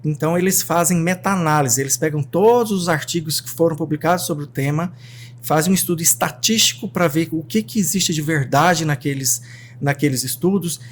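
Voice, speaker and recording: A man with a Brazilian Portuguese accent hosting a casual podcast as a psychiatrist, animated and talking straight to his listeners. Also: a little high, slight background noise. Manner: animated, talks straight to the audience.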